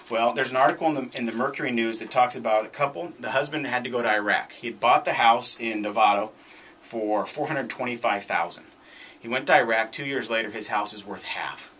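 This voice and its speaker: Guy doing talk radio commentary, bright punchy voice, keeps hammering his point, bit of background noise. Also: mid-range voice, rough recording. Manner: emphatic, repeats points, conversational asides. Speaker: radio show host, realtor.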